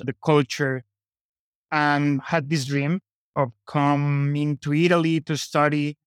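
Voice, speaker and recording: Clear-voiced man with Latin American Spanish accent. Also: on the high side, no room sound, studio quality. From Colombia, grew up in Costa Rica. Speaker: podcast guest, company founder. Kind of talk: casual interview conversation.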